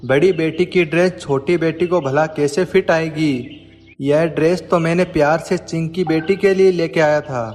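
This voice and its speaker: A man voicing a doting, gentle pigeon father in a cartoon story. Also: on the high side, steady tone, normal speed, in a large hall, natural voice. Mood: protesting. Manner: affectionate. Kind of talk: Hindi cartoon story dialogue.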